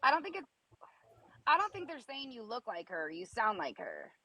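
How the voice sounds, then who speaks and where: A woman in her thirties with a raspy voice, asking probing, somewhat hesitant questions in a live video chat. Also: fairly high pitch, very animated, normal speed, sharp tone, no room sound. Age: 30s.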